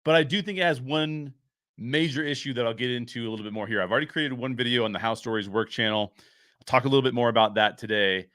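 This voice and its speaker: A man hosts a casual commentary show on his own. His voice is medium in pitch with a bright, forward edge, recorded very dry. He speaks emphatically and likes to repeat a phrase to stress it. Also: fast, no echo. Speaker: show host and story writer.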